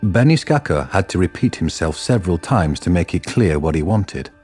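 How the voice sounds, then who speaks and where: Male narrator, scripted radio drama, low voice, faint music behind. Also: animated, normal speed, a little mellow, slightly rough.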